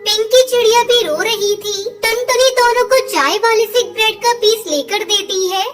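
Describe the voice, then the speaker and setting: A woman's voice: very high-pitched, normal speed. A woman narrating a scripted moral story for children.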